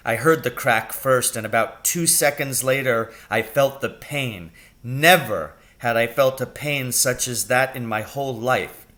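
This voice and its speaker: A man reading a children's novel aloud as an expressive storybook narrator. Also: mid-range voice, unhurried.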